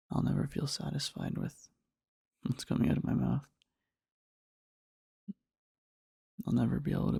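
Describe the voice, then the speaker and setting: A man playing a devoted, sleepy boyfriend in an ASMR roleplay, murmuring tenderly and haltingly in a husky whisper, close to the microphone. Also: medium pitch, warm tone, no echo, full character voice. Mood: adoring, earnest. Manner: slow, halting, rambling, tender. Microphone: close-up microphone, dry.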